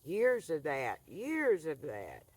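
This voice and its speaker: A woman, talking earnestly to a camera by an outdoor fire pit, soft-spoken and halting. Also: sits low, huge pitch swings, breathy edge, no room sound. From rural Appalachian North Carolina. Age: 70s. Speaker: vlogger. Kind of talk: reflective monologue to camera.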